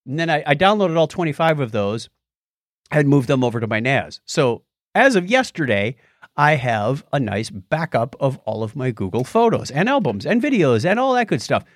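A man hosting a casual tech podcast, telling stories in an animated way and acting out reactions as he goes. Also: mid-range voice, normal speed, no room sound, clean studio sound. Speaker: podcast host.

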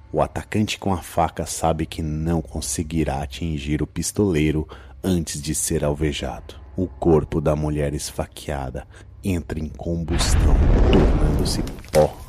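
Very deep, husky Brazilian male narrator. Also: steady tone, unhurried, mellow. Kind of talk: scripted horror audio drama narration.

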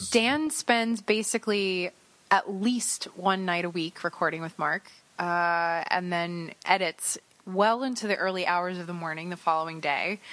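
A woman's voice: fairly low pitch, fairly bright tone, no echo. Hesitant woman guest in casual chat. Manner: shy, hesitant, with many fillers. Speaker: guest, host's girlfriend.

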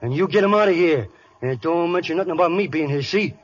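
An actor playing a tough-talking male gangster with a husky voice and slangy, menacing speech in a 1930s radio play. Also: fairly high pitch, expressive, quick pace, muffled recording. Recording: scratchy 1930s radio broadcast.

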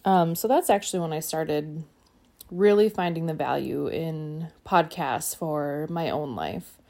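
Calm, low-key woman musing into her phone. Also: measured pace, creaky. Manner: calm, even-keeled, trails off. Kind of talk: reflective personal monologue.

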